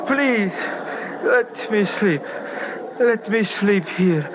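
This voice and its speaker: High-voiced, halting injured porter. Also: male voice, animated, heavily acted. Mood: pained, pleading. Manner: halting, laboured, broken phrases. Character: gravely injured Himalayan porter, loyal, pleading, dying. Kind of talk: old-time radio drama acting. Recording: muffled vintage radio recording, heavy noise.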